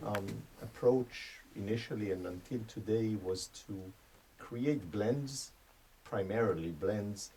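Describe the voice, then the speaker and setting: A man's voice: lowish voice, dark tone, a little hiss or hum, rough recording. A man in his forties from Israel, a retired chef turned spice merchant, explaining his ideas at length in a radio interview. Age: 40s.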